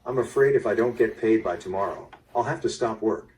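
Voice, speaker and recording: A man's voice: on the low side, brisk, a little mellow, slight background noise, close to an everyday voice. An actor playing a plain-spoken, tactlessly friendly construction foreman in a mystery audio drama, talking casually and directly. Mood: blunt. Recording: distant, played through a small speaker.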